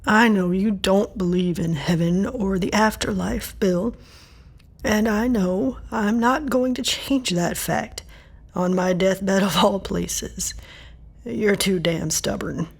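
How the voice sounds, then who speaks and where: Frail woman near death: husky, unhurried, tender but stubborn, for audio drama. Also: mid-range voice, heavily acted. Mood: wistful, fading.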